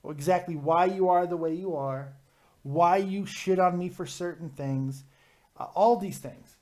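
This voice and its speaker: Male stream host, high voice, deadpan roasting, casual banter. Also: unhurried. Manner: teasing, mock-serious roasting. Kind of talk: casual joking chat.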